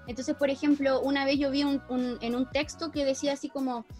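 A woman with a Chilean accent in a casual discussion, explaining things in an animated, slangy, informal way. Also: sits high, brisk, faint music behind. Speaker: teacher.

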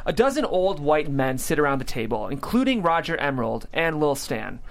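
A woman's voice: deep voice, very animated, moderate pace, gravelly. A woman reading scripted stage directions as narrator at a comedy table read.

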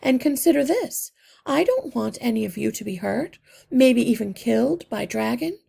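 A small, determined mother mouse pleads her case in a raised, earnest storybook voice, as a woman reads her part. Under the lifted pitch the tone stays gravelly and husky. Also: big pitch swings, normal speed, mellow, heavily acted.

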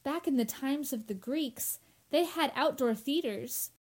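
A woman's voice: high voice, a little slow. A woman playing a sensible, well-informed young woman in an old-time radio drama, reasoning and explaining with theatrical clarity. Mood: cheerful, explanatory.

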